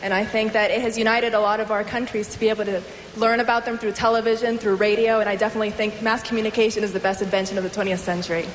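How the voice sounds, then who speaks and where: A woman's voice: medium pitch, level tone, racing, long reverb tail, noisy background. A woman in her twenties answering pageant interview questions in a confident, articulate way. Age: 20s. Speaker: pageant contestant.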